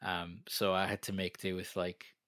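Man, 40s, low bright voice, rambling self-deprecating guest. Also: flat delivery, moderate pace, no echo, studio quality. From North East England. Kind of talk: casual interview chat.

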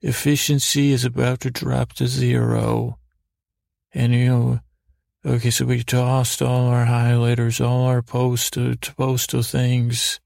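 Male podcast host for sleepy, mock-serious monologues: heavy vocal fry, even intonation, halting and meandering delivery. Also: medium pitch, no echo, studio quality. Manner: meandering, halting, repeating half-words. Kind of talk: rambling bedtime monologue to help listeners sleep.

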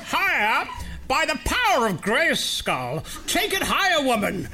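A woman's voice: high voice, huge pitch swings, measured pace, pushed and bright, full character voice. A woman playing a bombastic comic doctor sleuth in a live comedy audio play, grandiose and declamatory. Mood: imperious.

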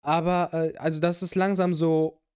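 High, smooth-voiced joking podcast host. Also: male voice, flat delivery, normal speed, a little mellow. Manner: joking, laughs often, many fillers and restarts. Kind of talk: casual podcast chat.

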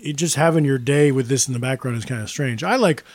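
A man with raspy moments in his voice, chatting casually about music and telling stories in a rambling, jokey way as a podcast host. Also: medium pitch, fast talker, dry recording. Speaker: podcast host and band singer. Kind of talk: casual music chat with anecdotes.

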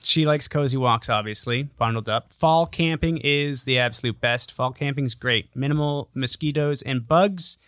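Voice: medium pitch, normal speed, few pauses, fairly bright tone, no room sound, studio quality. A podcast co-host chatting casually and comedically, bantering and swearing freely.

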